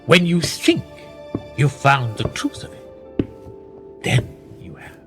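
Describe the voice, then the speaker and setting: An actor playing a powerful, manipulative titled gentleman in a radio play, measured and condescending, with quiet menace. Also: mid-range voice, wildly swooping pitch. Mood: smugly knowing. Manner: measured, condescending, with rhetorical tag questions. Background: music.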